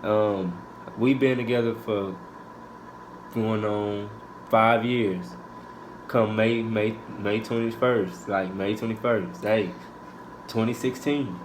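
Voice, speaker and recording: A man's voice: on the low side, fairly even intonation, slow pace, noisy background. Young man, laid-back, hesitant, casual q&a chat. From Houston, Texas. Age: 20s. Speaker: co-host of a couple's channel.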